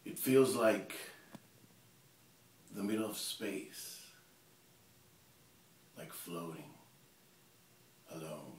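A man playing a cold, menacing serial killer confessing in a halting, intimate stage monologue. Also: deep voice, lively intonation, full character voice. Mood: brooding, hypnotic. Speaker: amateur actor performing a monologue. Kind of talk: theatrical dramatic monologue. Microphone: camera mic at some distance. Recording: roomy, distant camera mic, hissy.

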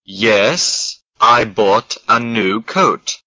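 A man reading slow model sentences for an English lesson, each word carefully spaced. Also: lowish voice, lively intonation, pushed and bright, lo-fi sound. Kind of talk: slow scripted language drill.

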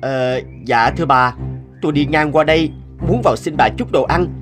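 A man's voice: fairly high pitch, moderate pace, faint music behind, lightly acted. A man playing a poor, forgiving wandering woodcutter, polite and earnest, in a fairy-tale cartoon. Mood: weary, pleading. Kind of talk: animated fairy-tale dubbing.

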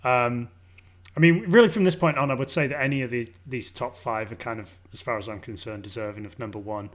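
Casting: male English podcast host, enthusiastic and sweary, halting casual delivery, muffled lo-fi recording. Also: medium pitch. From born in London, grew up in Leeds, Yorkshire. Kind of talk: casual music review chat. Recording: dull lo-fi audio.

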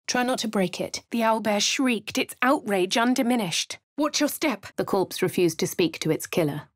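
A woman's voice: medium pitch, no echo. An actress voicing a posh female adventurer in a fantasy game, narrating and remarking in a refined, dry manner. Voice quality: crisp upper-class English diction. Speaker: player character. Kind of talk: game voice lines.

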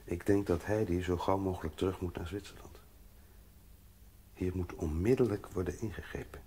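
A grave, formal gentleman in an audio play. His voice is deep, dark and husky, and he speaks sternly. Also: fairly even intonation, normal speed. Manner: formal, serious. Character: grave, stern man giving an urgent warning. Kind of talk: Dutch audio drama acting.

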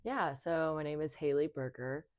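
A woman's voice: low voice, moderate pace, no room sound, studio quality. A woman in her twenties, born in Thailand, chatting as a podcast guest, with many fillers and restarts. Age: 20s. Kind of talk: casual conversation.

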